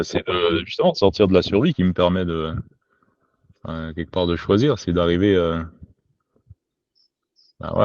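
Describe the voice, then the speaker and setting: A man's voice: low-pitched, a little mellow, no room sound. A man from Quebec talking spontaneously and informally as a podcast guest, with slangy, sweary phrasing, on a lo-fi home recording. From Quebec, Canada. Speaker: podcast guest, survival instructor. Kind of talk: spontaneous casual conversation.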